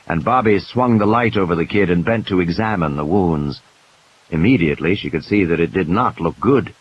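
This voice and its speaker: A man's voice: fairly low pitch, muffled recording. A man narrating an audiobook play in a dramatic, scripted storytelling style. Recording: hissy, noisy background.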